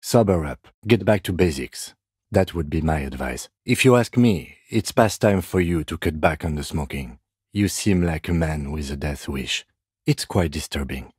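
A by-the-book male police detective in a video game. The voice sits low and is slightly husky. He speaks formally and with restraint, deadpan and measured, in a very dry recording. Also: dry recording, full character voice. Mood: stern. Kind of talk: scripted game dialogue.

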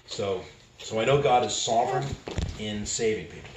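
An older man from Boston, a street preacher, tells his conversion story with animated gestures in a small living room. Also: lowish voice, moderate pace. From Boston, United States. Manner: animated, acts out dialogue with hand gestures. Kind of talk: personal testimony storytelling. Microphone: camera a few feet away. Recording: roomy, distant and noisy home video.

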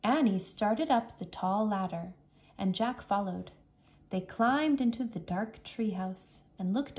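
A woman teacher narrating a children's story aloud in a careful, expressive storytelling manner. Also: medium pitch. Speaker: English teacher reading aloud.

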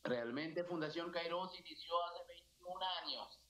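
A middle-aged man from India speaking Spanish with an Indian accent, an earnest priest talking haltingly with many fillers during a video-call TV interview. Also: fairly high pitch, a bit bright. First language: not Spanish. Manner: earnest, gestures a lot, halting non-native phrasing with many fillers. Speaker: priest, foundation director. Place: indoor office with a religious statue.

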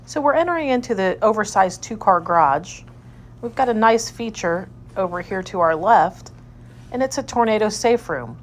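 A woman real estate agent narrating an informal, unscripted walkthrough of a home, describing each room as she moves through it. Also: medium pitch. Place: indoors, moving through rooms of a house.

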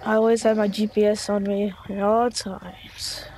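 A gamer improvising a video game roleplay, excitable, exclaiming and muttering along while playing. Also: medium pitch, normal speed. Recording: noisy home gameplay recording.

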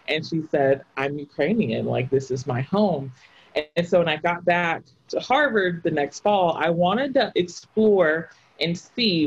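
A young adult woman from rural Texas with a Texan accent, giving a conversational lecture from a home office over a video call. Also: low-pitched. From rural Southeast Texas. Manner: conversational, personal, lively hand gestures. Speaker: guest lecturer, historian. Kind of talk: online lecture. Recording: dull lo-fi video call audio.

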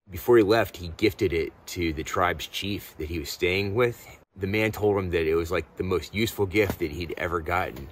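Low-voiced man, casual outdoor gear reviewer. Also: fairly bright tone, slight background noise. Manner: laid-back, informal, enthusiastic slang. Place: outdoors in snowy woods.

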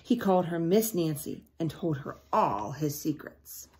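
A middle-aged woman reads a storybook aloud in a fairly low voice. She tells it deliberately, as a teacher would to young children, and breaks off to put questions to her listeners. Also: very animated, very noisy. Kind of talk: picture-book read-aloud with asides to children. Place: small indoor room at home.

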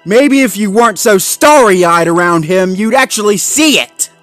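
Casting: accusing, hot-tempered cartoon dog man performing, higher than his natural voice, emphatic delivery, faint music. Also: sing-song, a little slow, a bit bright. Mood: furious, accusing. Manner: confrontational, emphatic. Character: angry, bitter, accusing male cartoon Labrador pup.

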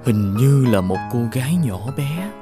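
An actor playing a gentle, wistful young prince in an animated fairy tale, pensive and sincere. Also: male voice, medium pitch, measured pace, music in the background. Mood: wistful, troubled.

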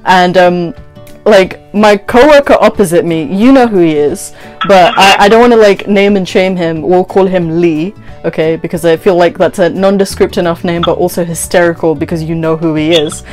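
A woman's voice: lowish voice, moderate pace, soft background music. A woman in her twenties chatting casually and telling a story, acting out conversations as she goes. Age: 20s. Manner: animated retelling with quoted dialogue and frequent "like". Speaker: vlogger. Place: small bedroom, indoors.

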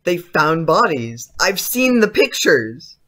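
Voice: medium pitch, sing-song, takes it slowly, a bit bright, full character voice. A performer playing a frightened, defiant young boy in an audio play, questioning and alarmed. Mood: wary, accusing.

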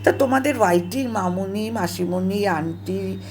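A woman tells a personal story in an emotional, dramatic monologue, halting and repeating phrases for emphasis and dropping in English words. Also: mid-range voice, a little mellow, slight background noise. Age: 70s. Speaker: storyteller recalling own past.

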